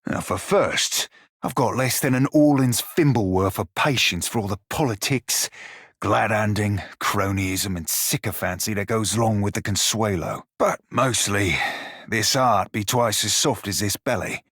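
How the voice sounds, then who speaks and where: A salty pirate shiphunter from a fantasy video game, gruff on the outside and soft at heart. His voice sits fairly low and is recorded close and dry. He talks in a folksy, irreverent pirate dialect full of old sailor's turns of phrase. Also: a little slow, no echo. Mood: sincere, fond. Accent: stylized pirate dialect. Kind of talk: video game character dialogue.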